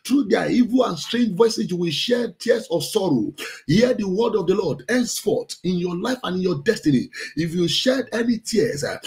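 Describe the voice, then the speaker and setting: A man with a Nigerian accent, a pastor leading fervent Pentecostal prayer in forceful, repeated declarations with a strained, gravelly voice. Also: high-pitched. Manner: forceful, repetitive chanting declarations.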